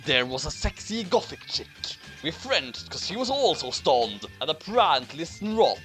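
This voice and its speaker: A man reads a comic story aloud with a theatrical delivery. His pitch swings widely from phrase to phrase. Music plays faintly behind him. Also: sing-song, a little slow, a bit bright. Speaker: narrator reading a fan story aloud. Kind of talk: dramatic comedic reading of absurd fiction.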